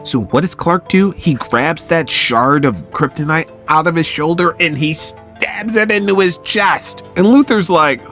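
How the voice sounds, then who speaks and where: A man giving a casual, enthusiastic story recap as a host, with an animated, excitable way of telling it. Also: fairly high pitch, unhurried, few pauses. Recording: music under the voice. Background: background music.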